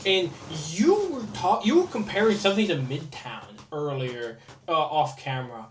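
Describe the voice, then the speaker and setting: A man's voice: on the high side, animated, moderate pace. A man from New York with an American accent, ranting casually as a sarcastic, sweary co-host. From Queens, New York, United States. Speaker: podcast co-host. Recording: roomy echo, faint background noise.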